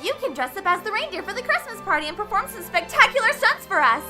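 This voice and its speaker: A very high, swooping teenage girl voice, brash and self-important. She is a hot-headed anime club leader who gives orders and demands, with music playing behind her. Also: big pitch swings, fast talker. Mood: gleefully demanding. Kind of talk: anime fan dub acting.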